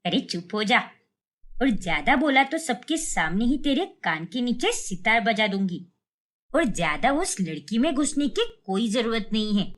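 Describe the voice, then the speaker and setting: A woman voicing a short-tempered middle-aged mother in a cartoon family story, with a sharp, nagging, indignant manner. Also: a little high, very animated, unhurried, pitched below the performer's own voice, heavily acted. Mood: angry, scolding.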